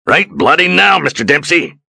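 A man playing a gruff, nervous ship captain who shouts and blusters. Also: sits low, expressive, moderate pace, no echo, studio quality, exaggerated voice. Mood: blustering.

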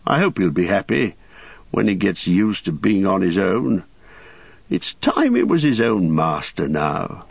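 A man's voice: low voice, big pitch swings, measured pace, gravelly, breathy edge, dry recording, highs cut off, heavily acted. A man voicing an old, kindly but prickly and possessive hobbit in an audiobook, with an agitated, defensive manner. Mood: fond, wistful. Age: older. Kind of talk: audiobook character acting.

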